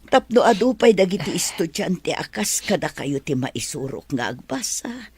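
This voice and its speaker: A very husky, low-pitched Ilocano woman teacher, played by an actress in a radio drama. She is ailing and grateful, weary and apologetic, with a voice that moves widely up and down. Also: sing-song, normal speed, no room sound. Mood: weak, earnest.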